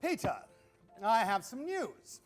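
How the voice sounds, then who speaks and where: A man's voice: extremely high pitch, big pitch swings, takes it slowly, faint music behind, heavily acted. A man playing an enthusiastic, visionary 1920s wireless company man in a radio play, speaking emphatically and declaiming. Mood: earnest, excited. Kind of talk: radio drama acting.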